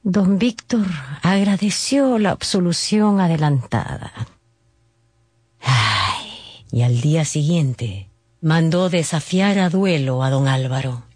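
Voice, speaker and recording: A man's voice: on the high side, takes it slowly, no room sound. A man narrating a scripted radio drama as a storyteller.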